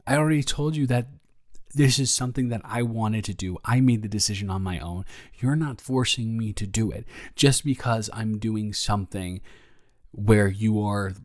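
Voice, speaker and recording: A young man playing a caring, flustered roommate in an intimate roleplay audio, rambling and stammering as he gently reassures a friend. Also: fairly low pitch, dry recording, clean studio sound, lightly acted. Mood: earnest, insistent. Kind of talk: improvised intimate roleplay audio, one-sided conversation.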